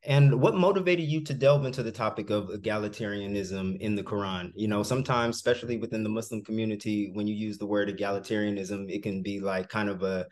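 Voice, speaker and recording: Male podcast host on a video call, lowish voice, polite measured questions. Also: a little mellow. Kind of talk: hosted interview. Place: home room, plain wall.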